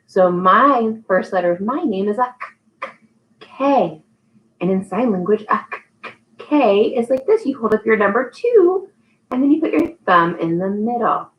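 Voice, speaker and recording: A woman's voice: mid-range voice, dull audio, a little hiss or hum. Woman leading an upbeat children's storytime. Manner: encouraging, child-directed, sing-song when leading activities. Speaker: early childhood storytime host and teacher. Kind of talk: live online storytime for young children.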